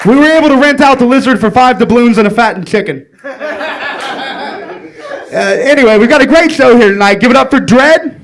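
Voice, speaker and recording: A man's voice: extremely high pitch, animated, brisk, pauses often, some chatter behind. A young man hosting a local talk show from a small bar, cracking crude jokes. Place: small bar venue, indoors.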